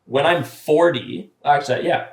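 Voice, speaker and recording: A man's voice: a little high, measured pace. Chatty man in his thirties, roomy echo. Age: 30s. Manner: talkative, earnest, many "like" fillers. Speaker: artist, podcast guest. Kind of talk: casual podcast conversation. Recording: roomy echoey room sound.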